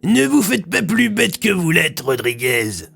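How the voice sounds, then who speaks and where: An ambitious army colonel from an audio drama, insinuating under a show of courtesy. His voice is high for a man, raspy and sharply bright, over music. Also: sing-song, measured pace, heavily acted. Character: scheming, ambitious male army colonel, slyly coercive. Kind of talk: French audio fiction acting.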